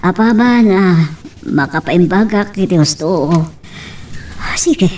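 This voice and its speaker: A woman's voice: lowish voice, expressive, takes it slowly, darkish tone, distant mic, slight background noise. A woman playing a doting, skeptical middle-aged mother in a radio drama, with an Ilocano Filipino accent. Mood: surprised, doting.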